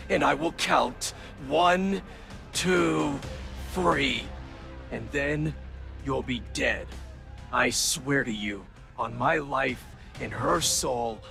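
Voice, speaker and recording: An actor playing a vengeful, menacing superhero in a comic-book drama, speaking with deliberate, measured pauses and a gravelly edge. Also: male voice, on the high side. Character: vengeful, menacing male superhero threatening his enemy. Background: music.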